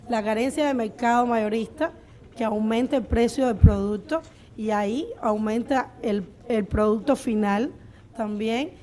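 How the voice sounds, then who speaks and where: Female, Cuban accent, higher-than-average voice, small-business owner answering unscripted at a live panel. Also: mellow. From Cuba. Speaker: restaurant owner on a panel of entrepreneurs.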